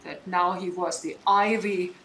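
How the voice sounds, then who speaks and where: A woman's voice: fairly low pitch, steady tone, a little slow, fairly bright tone. A woman playing a bitter, scholarly deposed duchess and sorceress mother in Shakespearean audio drama, speaking in a declamatory, emphatic way that demands attention. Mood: bitter, insistent. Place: indoor room, recorded over internet link. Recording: roomy remote recording, noise.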